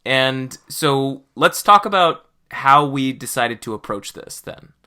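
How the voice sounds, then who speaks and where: A man's voice: mid-range voice, animated, slow pace, pushed and bright, clean tone. A man hosting a podcast in casual conversation, explaining things in a conversational way. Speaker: podcast host.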